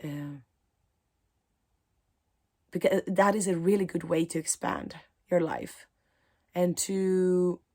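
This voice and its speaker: Slow, unhurried woman speaking reflectively. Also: fairly low pitch. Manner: slow, thoughtful, pausing often with soft fillers. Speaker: mindset coach and spiritual teacher. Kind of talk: reflective spiritual talk to camera.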